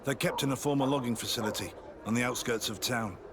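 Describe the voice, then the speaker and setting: A man's voice: mid-range voice, fast, background noise, lightly acted. A man playing a guilt-ridden, secretive army sergeant turned informant in a video game, guarded, earnest and confiding. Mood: urgent. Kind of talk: video game cutscene acting.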